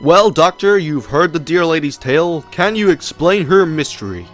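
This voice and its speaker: A man's voice: on the high side, lively intonation, normal speed, fairly bright tone, faint music behind. A man playing a glib television panel game compere in a fan audio drama, with patronising showman patter. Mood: showy.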